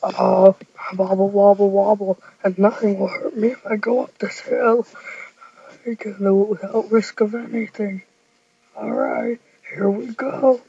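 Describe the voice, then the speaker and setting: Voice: medium pitch, steady tone, a little slow, gravelly, warm tone, husky, voice pushed down. A performer voicing a clumsy hero who keeps getting hurt, whimpering and crying in pain, on a dull lo-fi home recording. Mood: boastful.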